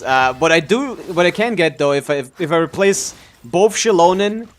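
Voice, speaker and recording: A man doing casual live game-stream commentary in a rambling, mildly jaded way, often restarting his thoughts. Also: a little high.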